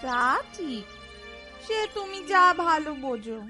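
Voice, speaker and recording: A woman's voice: high voice, animated, takes it slowly. A woman playing a lonely, coaxing village housewife in a cartoon, with rustic speech and a pleading manner. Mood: accepting. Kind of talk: cartoon voice acting, rustic dialogue. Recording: music behind the voice.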